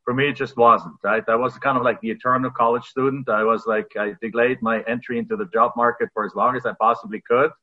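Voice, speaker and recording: A man's voice: mid-range voice, fairly even intonation, quick pace, few pauses. German-accented man on a tinny line. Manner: reflective, many fillers and restarts. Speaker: podcast guest. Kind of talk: casual interview storytelling. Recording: phone-like remote audio.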